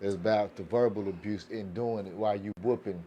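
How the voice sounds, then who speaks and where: A man speaking casually in a group discussion, halting and leaning often on "you know". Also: on the low side, steady tone, warm tone, highs cut off. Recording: faint background noise.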